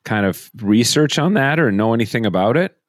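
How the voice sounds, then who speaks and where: A male podcast host with family roots in southwestern Minnesota asks his guest questions with eager curiosity. His voice has a middle pitch and sounds dry and close to the mic. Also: expressive, no room sound. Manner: curious, eager, quick to react. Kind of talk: conversational interviewing.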